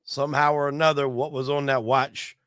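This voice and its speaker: A man's voice: medium pitch, little pitch movement, a little fast, a bit bright, clean tone, no echo, clean studio sound. A man interviewing in a conversational way, careful and hedging as he talks. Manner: hesitant, careful, hedging what he repeats.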